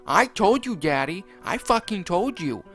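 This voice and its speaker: A man's voice: fairly high pitch, animated, a little fast, fairly bright tone, faint music behind, pitched above the performer's own voice. A man voicing a bratty, foul-mouthed young boy who whines and threatens. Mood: bitter.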